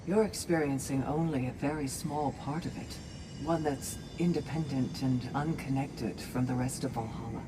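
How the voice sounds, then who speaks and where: A woman's voice: rumbling low, normal speed, noisy background. A woman playing a steadfast, kindly Valkyrie warrior in a video game, speaking in a composed, articulate, formal way. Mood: solemn, instructive. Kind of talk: video game cinematic acting. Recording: game music behind the voice.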